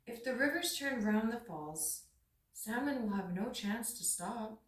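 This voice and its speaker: A wise storybook raven, read by a woman, argues his case with firm, deliberate emphasis. The voice lifts above a plain narrating tone and rings with room echo, a little far from the mic. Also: on the high side, lively intonation, voice pushed up. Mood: firm, reasoning. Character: wise, reasoning male raven giving his opinion. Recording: roomy echo, distant microphone.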